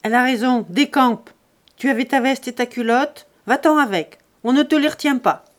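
A coarse peasant man bellowing to throw a rival out of the house, as a woman reader acts him. The voice stays high and loud, blunt and rough in its words. It sounds like a storybook read aloud. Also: expressive, a little slow. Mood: angry shouting. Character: angry, stubborn peasant man.

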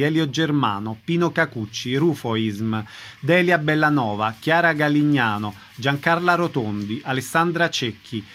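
Mid-pitched man making a radio announcement. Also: a bit bright.

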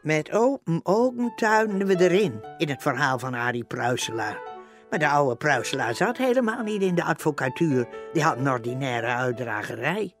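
An actress playing the rueful storyteller who narrates a comic radio play. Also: female voice, sits low, lively intonation, moderate pace, music in the background. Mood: wry, rueful. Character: rueful storyteller recalling how the household was fooled.

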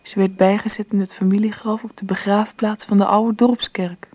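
A woman's voice: medium pitch, flat delivery, moderate pace, darkish tone, close to an everyday voice. An actress playing a woman who soberly narrates memories of her bereaved childhood in a radio play. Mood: sober, reflective. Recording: dull radio recording with faint noise.

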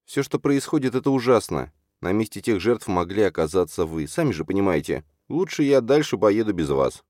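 A man's voice: fairly low pitch, very animated, fairly quick, darkish tone, no echo, studio quality, voice pushed up. A man voicing an earnest, anxious young sorceress in an audiobook, emotional, pleading and readily indignant. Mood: pleading, regretful. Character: earnest young woman sorceress, anxious, insistent, soft-hearted. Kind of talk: audiobook character voice.

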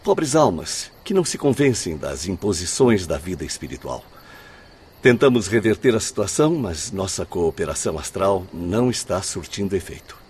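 A man's voice: low-pitched, sing-song, moderate pace, darkish tone, husky, exaggerated voice. An actor with a Brazilian accent playing a grave, devout male spirit missionary in a radio drama, speaking formally and earnestly. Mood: solemn, compassionate.

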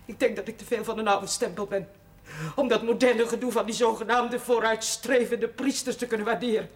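An actress playing a prim, old-fashioned, disapproving middle-aged housekeeper, speaking formally and stiffly in a television drama. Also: female voice, fairly high pitch, fairly even intonation, fairly quick, close to an everyday voice. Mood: prim, aggrieved. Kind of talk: Dutch television drama acting.

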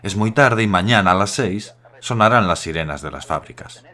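A man's voice: on the low side, lively intonation, normal speed, sharp tone. An actor playing a hopeful young working-class husband who teases his wife affectionately in a radio drama. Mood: fond. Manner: affectionate, joking.

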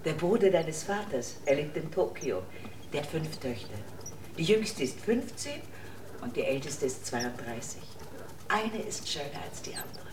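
A gentle, cultured elderly grandmother in a radio drama. Her fairly low voice comes from far off with echo and background noise. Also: animated, measured pace. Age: older. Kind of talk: German radio drama acting. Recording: echoey, distant, noisy background.

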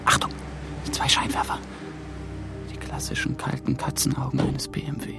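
A man's voice: moderate pace, music in the background, close to an everyday voice. A man playing a young male EU intern on the run, talking in tense, often whispered asides in a radio crime drama. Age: young adult.

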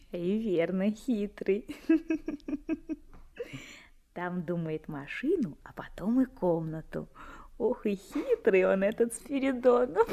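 An actress playing an adoring, giggly young bride in a radio play, half-whispering with drawn-out delight. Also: female voice, medium pitch, sing-song, mellow, full character voice. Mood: amused, tender. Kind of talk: 1970s Soviet radio play acting.

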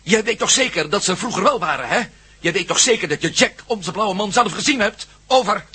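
An actor in a Dutch radio play voicing an anxious, restless adventurer who speaks haltingly and repeats himself. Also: male voice, high voice, lively intonation, noisy background. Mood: agitated, disbelieving. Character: Matt Meldon, anxious, restless, doubting male adventurer.

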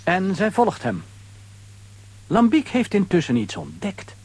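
Animated, husky male storyteller. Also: high-pitched, measured pace. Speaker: narrator. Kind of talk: dramatic storytelling narration for children.

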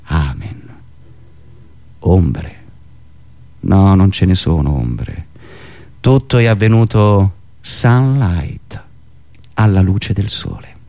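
A man delivering a satirical political monologue in an indignant, sarcastic, declamatory manner with dramatic pauses and repetitions. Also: deep voice, animated, dark tone. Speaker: satirical monologist and radio show host.

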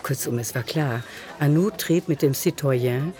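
A man's voice: fairly high pitch, unhurried, dark tone. A man narrating a literary radio drama from a script.

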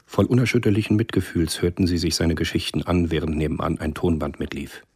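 A male narrator with an extremely deep, gravelly-husky voice tells a radio drama. His delivery is sober and measured, with little melodic movement. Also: fairly even intonation, darkish tone. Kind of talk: scripted radio play narration.

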